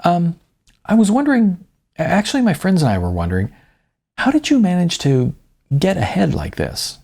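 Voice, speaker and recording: A man's voice: high-pitched, wildly swooping pitch, gravelly, a little mellow, pitched above the performer's own voice, exaggerated voice. A man voicing a shy, eager young college woman who speaks hesitantly in an audiobook. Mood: nervous. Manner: hesitant, halting, stammering.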